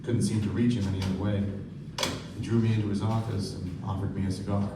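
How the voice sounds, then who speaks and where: A man's voice: lowish voice, level tone, fast talker, noisy background, close to an everyday voice. A man playing an earnest, sombre narrator in a live radio play, delivering scripted literary prose and dialogue. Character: earnest, sombre male narrator and loyal friend. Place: live stage performance. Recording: echoey distant stage.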